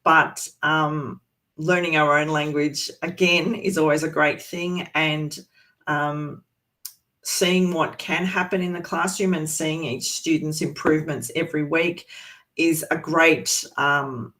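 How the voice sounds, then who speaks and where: A teacher from Australia's east coast, presenting a teaching resource in a relaxed, chatty way with many pauses and restarts. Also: medium pitch. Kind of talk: informal presentation to educators.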